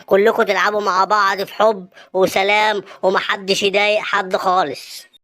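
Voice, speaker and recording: A woman with an Egyptian accent voicing the lion king of the forest in a cartoon, speaking in a measured, commanding way. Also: medium pitch, flat delivery, fairly bright tone, lightly acted. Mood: firm kind. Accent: Egyptian Arabic. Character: male lion king of the forest, calm and fair. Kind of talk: cartoon voice acting.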